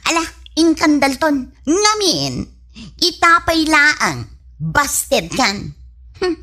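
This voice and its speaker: A woman's voice: high-pitched, wildly swooping pitch, very slow, pushed and bright, exaggerated voice. A woman acting a pretty, blunt, scornful young village woman in an Ilocano comedy radio drama, with an Ilocano accent. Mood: haughty, mocking. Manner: scornful, dismissive, teasing.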